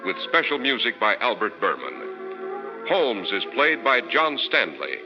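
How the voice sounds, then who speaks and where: A male American announcer from the golden age of radio delivers scripted copy with polish and emphasis. His medium-pitched voice moves up and down a great deal. The broadcast sounds dull, with long reverb. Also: very animated, measured pace, music in the background. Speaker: radio announcer and sponsor's spokesman.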